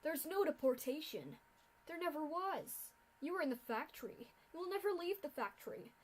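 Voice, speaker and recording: A woman voicing a cruel, smug male pegasus official who speaks loudly and clearly to captives. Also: high-pitched, expressive, moderate pace, voice pushed up, poor audio. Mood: gloating. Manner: loud and clear, gloating.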